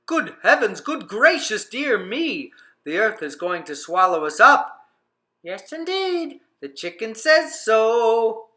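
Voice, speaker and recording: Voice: medium pitch, lively intonation, takes it slowly, bright, forceful tone, roomy sound, pitched above the performer's own voice. A storybook reader voicing a crowd of townspeople who exclaim and scold in raised, indignant voices. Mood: alarmed. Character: crowd of frightened, then furious townspeople.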